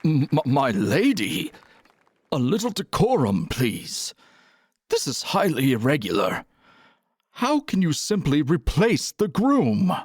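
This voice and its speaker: A man's voice: fairly high pitch, huge pitch swings, slow pace, slightly rough, dry recording, clean studio sound, full character voice. A man playing a stuffy, old-fashioned, easily flustered bishop in an audio drama, speaking in a formal, fussy, ceremonious way. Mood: flustered, indignant.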